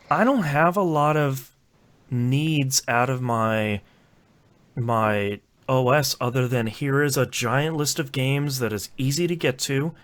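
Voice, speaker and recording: A man with a mid-pitched voice hosts a casual podcast. He talks informally and a bit haltingly, doubling back on words as he makes his point. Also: a bit bright. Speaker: podcast host. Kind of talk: casual chat.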